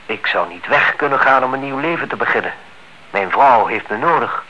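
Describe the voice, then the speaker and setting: An actor playing a polite, genteel married man in a radio play, courteous and deliberate. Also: medium pitch, expressive, pushed and bright. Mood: wistful. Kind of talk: radio play acting in Dutch. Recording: muffled old radio recording, faint noise.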